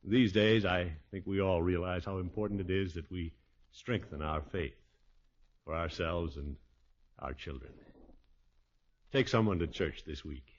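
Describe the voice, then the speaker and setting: A blustery, big-hearted American family man from a 1950s radio comedy. His middle-aged voice sits low, on a dull old recording with faint noise. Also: unhurried, long pauses. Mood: earnest, solemn.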